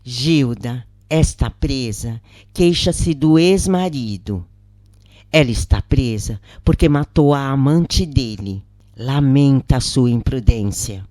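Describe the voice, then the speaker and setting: A woman's voice: very deep voice, slow pace, mellow, no echo, studio quality. A woman with a Brazilian accent voicing a patient, earnest female prisoner in an audiobook story. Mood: calm, advising. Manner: earnest, explanatory. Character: imprisoned woman counselor Joana, grave, patient, confiding.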